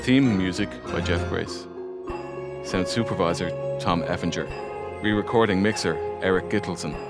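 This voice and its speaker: A deep-voiced male announcer reads scripted credits. Loud music plays behind him throughout. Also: moderate pace.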